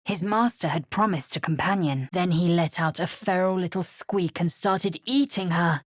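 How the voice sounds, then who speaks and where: A woman playing a fierce, haughty alien warrior in a video game, with a husky, raspy voice and clipped, imperious, formal delivery. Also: fairly low pitch, normal speed, no echo, studio quality, close to an everyday voice. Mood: grim, narrating. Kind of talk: video game character acting.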